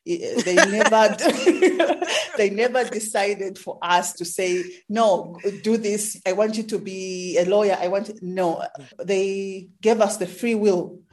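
A woman's voice: medium pitch, slow pace. A woman in her thirties with a Zambian English accent, answering interview questions in a conversational way. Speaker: interview guest, astrophysics researcher.

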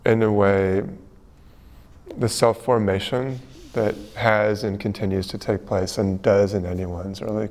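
A man's voice: on the low side, darkish tone. A man interviewing a guest, asking long, elaborate questions in a musing way.

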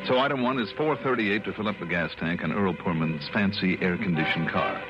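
An actor playing a wry, exasperated insurance investigator in a 1960s radio drama. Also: male voice, deep voice, brisk, lo-fi sound, natural voice. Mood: wry narration. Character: wry, exasperated male freelance insurance investigator, narrating his case. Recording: band-limited vintage radio, music behind.

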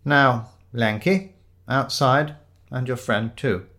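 A man's voice: medium pitch, slow pace, sharp tone. A man voicing a curt, exasperated female police inspector in a story, with a dry, firm manner. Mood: weary authority.

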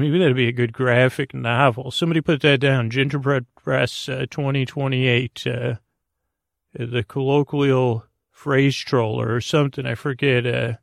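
A man hosting a bedtime podcast in a rambling monologue, gravelly and hesitant, meandering off into tangents. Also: mid-range voice, no room sound, studio quality. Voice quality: gravelly, creaky. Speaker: podcast host.

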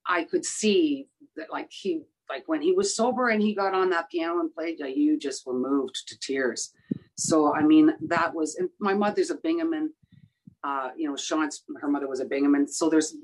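A woman singer in her sixties with a rough voice, talking in a casual interview, halting with many fillers and restarts. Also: low-pitched, rough recording.

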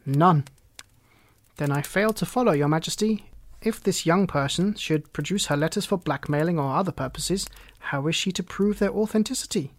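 A man's voice: fairly high pitch, moderate pace, natural voice. A man voicing a self-assured, dry-witted detective in an audiobook, languid and clipped. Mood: dismissive. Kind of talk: audiobook character reading.

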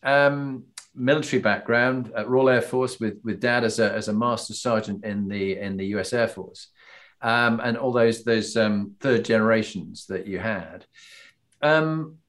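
A man's voice: lowish voice, unhurried, a bit bright. A man hosting an interview, asking questions with frequent restarts and "um"s. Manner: hesitant, restarts and "um"s. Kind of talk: casual interview conversation.

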